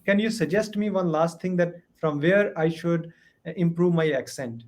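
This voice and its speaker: A man from India speaking with a mild Indian accent, his voice sitting a little high. He talks earnestly and carefully, pausing often on "uh" to find his words. He is heard over a video call. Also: darkish tone, slight background noise. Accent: Indian English. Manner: earnest, careful, with many "uh" pauses. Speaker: student, software entrepreneur. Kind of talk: English conversation practice.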